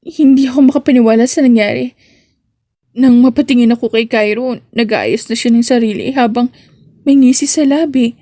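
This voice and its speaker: Woman acting a flustered, giddy young office woman, high-pitched. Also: moderate pace, dark tone, no room sound, studio quality, close to an everyday voice. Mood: giddy, amazed. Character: flustered, giddy young woman employee smitten with her boss. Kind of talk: romance audiobook character acting.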